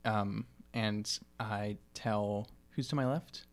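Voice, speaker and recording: A man's voice: on the low side, steady tone, slow pace, soft background music. A man improvising casually as a player in a tabletop role-playing game, in a conversational style.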